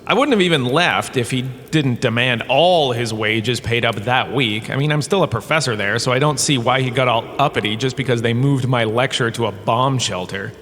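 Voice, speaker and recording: An actor playing a pompous, washed-up star turned flippant professor, lecturing in a theatrical, breezily dismissive way for a comic audio drama. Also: male voice, mid-range voice, normal speed, few pauses, fairly bright tone, natural voice. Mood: exasperated, flippant. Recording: long cave reverb, background ambience noise.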